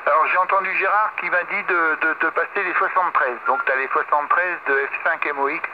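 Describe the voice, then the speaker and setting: A French man talks from his home radio station in a relaxed, technical way. His voice sits a little high. The audio comes through muffled, with steady hiss underneath. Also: fairly quick. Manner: easygoing, technical, with light laughs. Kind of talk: casual radio contact chat. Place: home radio shack with amp and 3D printer running.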